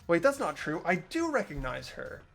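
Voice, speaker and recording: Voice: medium pitch, sing-song, moderate pace, gravelly, soft background music. A fan dubber voicing an anxious teenage boy student, narrating his worried inner thoughts in a game. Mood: puzzled. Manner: earnest inner monologue, worried questioning. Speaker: host and lead voice actor.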